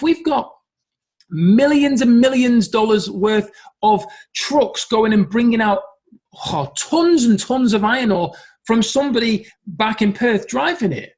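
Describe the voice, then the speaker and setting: Man, middle-aged, high-pitched, emphatic, motivational coaching talk. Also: a little mellow. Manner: earnest, emphatic, repeats key phrases. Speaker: business coach.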